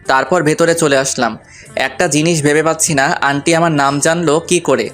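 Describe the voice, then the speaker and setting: Male narrator, slightly high voice, playful colloquial romantic storytelling over light music. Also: steady tone, normal speed, fairly bright tone. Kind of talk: first-person romantic story narration with acted dialogue.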